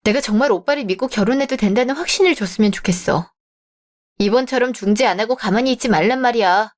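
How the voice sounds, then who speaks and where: A woman voicing a young bride-to-be in a radio drama, polite and measured but firm. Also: on the high side, natural voice.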